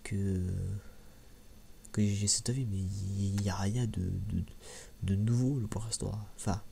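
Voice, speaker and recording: A man with a very low, gravelly voice speaks softly, almost whispering at times, in a casual ASMR manner. He is hesitant, trailing through repeats and pauses. The sound is a little muffled, with some background noise. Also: fairly even intonation. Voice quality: soft, hushed voice, sometimes near whisper. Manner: hesitant, halting, frequent repeats and filler pauses. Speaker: ASMR video creator and host. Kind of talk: soft-spoken ASMR, casual unscripted review. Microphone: microphone held a little away from mouth.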